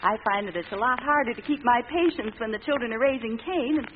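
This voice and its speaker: A woman's voice: fairly high pitch, fast, slight background noise. An American woman giving a scripted 1940s radio testimonial as a mother, on a tinny old broadcast.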